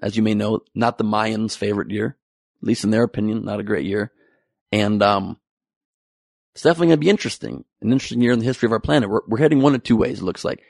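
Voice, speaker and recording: A man's voice: on the low side, fast, creaky, darkish tone, dry recording, clean studio sound. A young man giving a comedic, profane monologue about the news with joking asides. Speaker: comedy commentary host.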